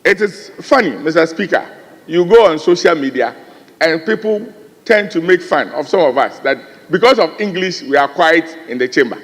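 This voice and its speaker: An earnest Ghanaian man speaks on the floor of a large parliamentary chamber. His voice sits a little high, and he builds emphasis as he goes. A long reverb from the hall trails behind his words. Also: animated, normal speed. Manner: earnest, rising emphasis, repeated addresses to the chair. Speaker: member of parliament.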